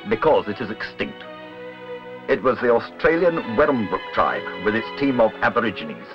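A man reading scripted documentary narration in a measured, literary, wry manner. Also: mid-range voice. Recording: dull old film soundtrack, music behind.

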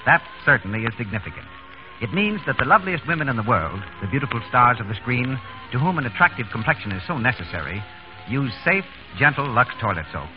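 Male 1930s radio announcer: formal, polished sales pitch, very lively intonation, muffled broadcast sound with music behind. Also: quick pace.

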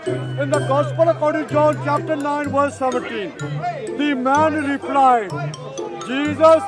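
An older man debating in a park, citing scripture in a slow, repetitive, measured way. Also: very high-pitched, steady tone, music in the background. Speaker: street preacher. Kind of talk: religious street debate. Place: outdoor park among a crowd.

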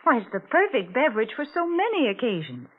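A chirpy radio pitchwoman from the 1940s. Her voice is fairly high and swings animatedly as she persuades with polished emphasis, heard on a dull, narrow old broadcast recording. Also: very animated, quick pace, breathy edge. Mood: eager, persuasive. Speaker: advertising spokeswoman. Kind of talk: 1940s radio commercial acting.

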